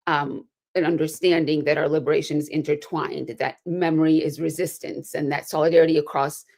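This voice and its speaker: Earnest low-voiced woman. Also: a little mellow. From United States. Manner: earnest, measured, with rhetorical asides. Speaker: panelist. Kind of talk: prepared remarks in an online panel.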